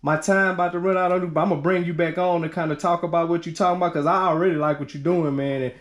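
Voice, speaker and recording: A man's voice: on the high side, steady tone, quick pace. A man talking casually and in slangy, informal phrases as a podcast host with a guest. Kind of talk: casual conversation. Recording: roomy echo.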